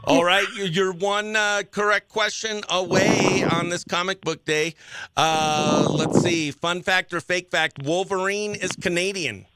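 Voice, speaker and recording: A man's voice: high voice, fairly even intonation, a little slow, heavy background noise. An American man hosting a morning radio show, bantering informally and running call-in games in an upbeat, chummy way. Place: small radio station studio.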